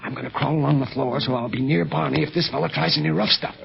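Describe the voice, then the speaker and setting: A male actor playing a steady, protective secret police agent in a 1930s radio serial, explaining and giving orders with authority. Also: a little high, fast, vocal fry, crowd noise. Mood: tense, commanding. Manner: commanding, explanatory. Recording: muffled 1930s radio recording.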